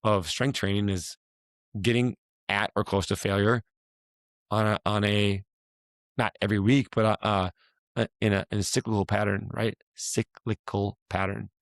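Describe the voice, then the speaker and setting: A man hosting a casual talk, his voice hoarse and raspy from a week of yelling, rambling and restarting as he asks questions. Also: on the low side, little pitch movement, moderate pace, dry recording. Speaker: podcast host, fitness coach. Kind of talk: casual chat.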